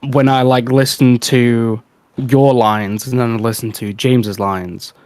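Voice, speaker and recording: A man's voice: mid-range voice, steady tone, a little slow, darkish tone, no echo. A man chatting casually on a livestream, giving critique in a hedging, explanatory way. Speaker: guest giving critique on an audio production.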